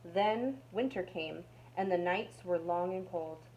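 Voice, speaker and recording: A woman's voice: fairly low pitch, slow pace, a little mellow, a little hiss or hum, poor audio. A woman narrating a children's storybook aloud as a storytime reader.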